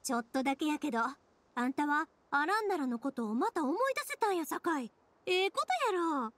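A woman voicing a warm, upbeat young big-sister figure in an anime game, reassuring and earnest, with a Kansai accent. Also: sits high, expressive, fairly quick, dry recording. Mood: encouraging. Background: music.

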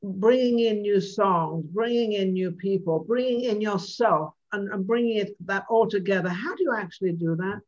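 A woman's voice: medium pitch, normal speed, few pauses, darkish tone. An older woman hosting a talk show, asking long, rambling, chatty questions over a video call. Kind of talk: interview questions. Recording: slightly dull video call audio.

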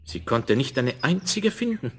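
A man's voice: medium pitch, very animated, normal speed, fairly bright tone. A man narrating a scripted fairy tale in the manner of a 1970s children's audio play. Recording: noisy vinyl rip with clicks.

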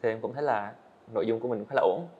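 A man's voice: fairly low pitch, racing, narrow, tinny audio. An eighteen-year-old man, a guest on a podcast, telling his own story earnestly and answering politely. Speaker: guest, animator. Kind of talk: podcast interview. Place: indoor studio set.

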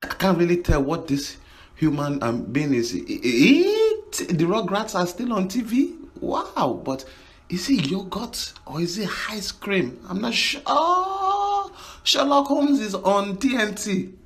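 High, animated comic voiceover man. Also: unhurried. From Africa. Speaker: comedian doing animal voiceovers.

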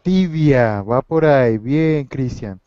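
A man's voice: medium pitch, expressive, slow pace, dark tone, clean tone, dry recording. A man teaching a Spanish class over a video call, running a quiz game and mixing Spanish with English as he encourages his students. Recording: dull lo-fi video call audio.

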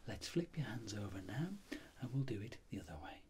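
A middle-aged man speaking in soft whispered ASMR, playing a clinic doctor giving gentle, unhurried instructions. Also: fairly low pitch, animated, a little hiss or hum.

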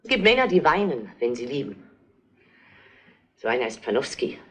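A middle-aged actress playing a proud, grieving mother in a German crime drama, speaking in a deliberate, halting, emphatic way. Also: very deep voice, very animated, a bit bright, husky, heavily acted. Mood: bitter, defiant. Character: grieving, proud mother defending her dead daughter.

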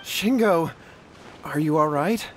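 A man's voice: a little high, slow pace, soft background music, poor audio. A man voicing an anxious, respectful young brother in a video game, earnest and polite. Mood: worried.

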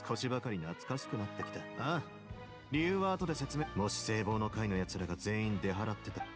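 A man voicing a composed, wry duke in a Japanese game, speaking in a cool, unhurried manner. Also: on the low side, animated, close to an everyday voice. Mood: calm. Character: composed, wry duke running an underwater prison. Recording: game audio under loud music.

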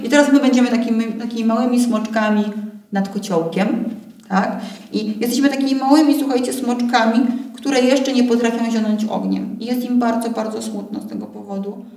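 A woman's voice: a little high, fairly even intonation, fairly quick, mellow. Woman instructor in a roomy, distant recording. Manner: encouraging, playful, frequent checking tag questions. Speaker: voice warm-up instructor. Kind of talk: guided exercise instruction, conversational. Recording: roomy echo, distant camera microphone.

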